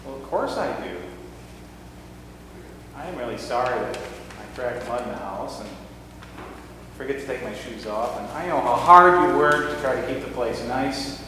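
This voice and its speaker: A man's voice: medium pitch, expressive, normal speed, long pauses, noisy background, close to an everyday voice. A man playing a careless, defensive husband. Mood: apologetic. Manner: casual, offhand grumbling. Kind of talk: church skit acting. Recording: echoey hall from far off.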